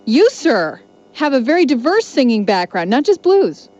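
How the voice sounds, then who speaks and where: A woman co-hosts a radio chat with a fairly high voice that swoops with animation. She is playful and effusive, talking informally with a guest. The recording is a dull, lo-fi radio aircheck. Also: big pitch swings, moderate pace, a little mellow. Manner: gushing, playful. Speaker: radio co-host. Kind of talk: casual morning-radio interview chat.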